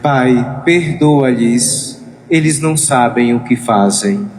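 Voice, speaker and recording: A man reading the part of a solemn prophet in a dramatized scripture reading, in a measured manner. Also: medium pitch, darkish tone, close to an everyday voice. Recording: echoey room with faint noise.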